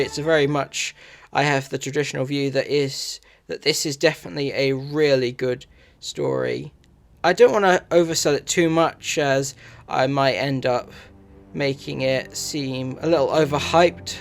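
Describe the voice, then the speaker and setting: A man narrating a review in measured, somewhat halting phrases. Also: fairly high pitch.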